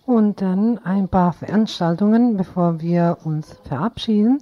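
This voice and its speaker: A woman presenting radio announcements informally, with halting, improvised phrasing. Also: medium pitch, no echo. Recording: dull, dry lo-fi radio recording.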